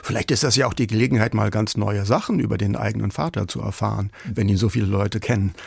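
A male radio host puts questions at a brisk clip in a husky, gravelly voice. His manner is inquisitive and politely formal, in the style of a broadcast conversation. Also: fairly low pitch, steady tone, darkish tone, no room sound. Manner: inquisitive, addresses guest formally.

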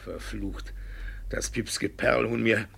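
An actor playing a devious, blustering village judge in a classic stage comedy for radio, stammering and evasive. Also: male voice, lowish voice, fairly even intonation, slow pace, vocal fry, fairly bright tone, slightly rough, exaggerated voice. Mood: evasive, flustered.

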